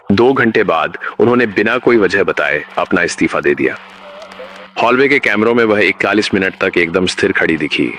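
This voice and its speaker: A man narrates a scripted horror story in a measured, solemn way. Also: sits low, fairly bright tone, a little hiss or hum.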